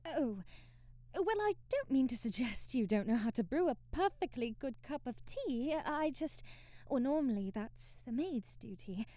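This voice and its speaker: A woman's voice: sits high, animated, unhurried, dark tone, dry recording. A woman playing a timid, devoted young maid in an ASMR roleplay, speaking formally and deferentially with hesitant stammers and period-drama phrasing. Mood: flustered, eager.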